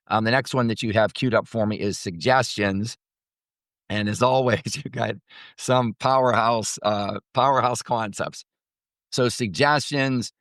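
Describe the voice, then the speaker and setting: A man's voice: medium pitch, fairly bright tone, dry recording, clean studio sound. A man speaking as a motivational coach and host, teaching off the cuff in an emphatic way and repeating phrases for stress. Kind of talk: spontaneous motivational teaching talk.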